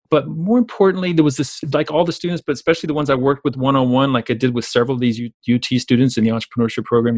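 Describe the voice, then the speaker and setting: Southern US male coach and speaker, very quick, emphatic interview delivery, close dry sound. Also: mid-range voice, a little mellow, no echo. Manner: emphatic, repeats phrases for stress. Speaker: business coach, speaker and author being interviewed. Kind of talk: conversational interview, storytelling.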